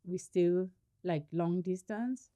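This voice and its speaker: Animated, laughing woman from Kenya. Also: fairly low pitch, slow pace. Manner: laughs often, animated storytelling. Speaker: guest. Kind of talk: casual interview chat.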